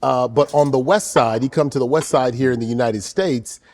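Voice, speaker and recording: A man co-hosting a talk show, chatting in a relaxed way and asking questions, often repeating words as he begins a sentence. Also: medium pitch, normal speed. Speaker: podcast co-host. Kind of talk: casual chat.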